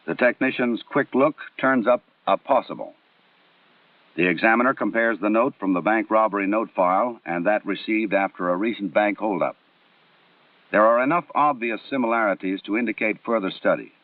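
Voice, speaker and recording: An American man delivering formal, scripted training film narration in a measured, instructional way with precise enunciation, on a 1960s film soundtrack. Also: lowish voice, no room sound, far from the mic. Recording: muffled narrow-band 1960s film soundtrack.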